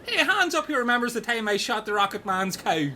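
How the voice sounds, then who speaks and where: Foul-mouthed bossy male castaway played by a man for comic radio drama; forceful, swooping delivery, noisy backdrop. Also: very high-pitched, big pitch swings, a little fast, heavily acted. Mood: blustering. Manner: sweary, blustering, dramatic. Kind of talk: comic radio play acting.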